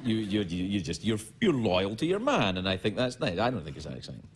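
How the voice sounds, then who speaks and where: A man's voice: lowish voice, wildly swooping pitch, a little hiss or hum. A man hosting a late-night talk show, bantering with a guest in a playful way, stammering and restarting as he jokes. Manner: playful, stammering restarts, quick teasing asides. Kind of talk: joking interview banter.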